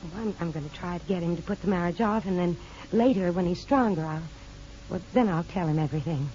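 A woman's voice: fairly low pitch, fast talker, mellow, close to an everyday voice. A woman playing an anxious, affectionate young nurse, hesitant and earnest, in 1950s radio drama style. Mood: guarded, uneasy. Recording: dull, noisy 1950s radio recording.

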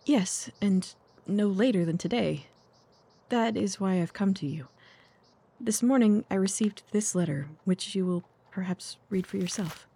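Soft, husky young gentlewoman, acted by a woman. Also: medium pitch, measured pace. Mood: earnest. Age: young adult. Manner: courteous, formal, earnest. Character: polite, reserved young gentlewoman seeking help. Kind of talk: audio drama acting.

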